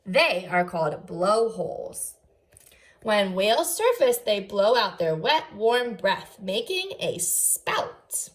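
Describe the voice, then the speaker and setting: A woman's voice: mid-range voice, sing-song, takes it slowly. A woman teacher reading aloud to young children, enunciating carefully and adding short explanatory asides. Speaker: first grade teacher.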